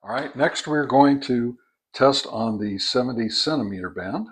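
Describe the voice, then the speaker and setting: A man's voice: medium pitch, moderate pace, breathy edge. A man giving a casual product review and test demonstration, explaining each step methodically as a hobbyist reviewer. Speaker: ham radio product reviewer.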